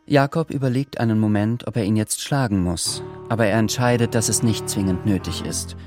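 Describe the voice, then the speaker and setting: A male narrator with a fairly low voice tells a scripted story. His delivery is measured and literary, with music clearly playing underneath. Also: a little mellow. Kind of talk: scripted radio drama narration.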